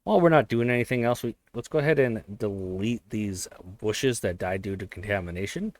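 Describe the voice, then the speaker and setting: A man thinking aloud while he plays a strategy game, his voice a little hoarse, often correcting himself as he goes. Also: on the low side, a little fast, dry recording. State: voice slightly hoarse. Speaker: let's play host. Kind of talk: casual gameplay commentary.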